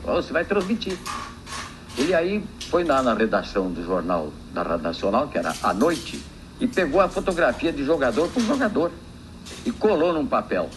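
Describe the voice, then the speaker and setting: A man's voice: medium pitch, normal speed. An older Brazilian man, a veteran broadcaster, informally telling an anecdote from memory in a noisy archive recording. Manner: animated anecdotal telling, acting out quotes. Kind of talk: informal spoken reminiscence.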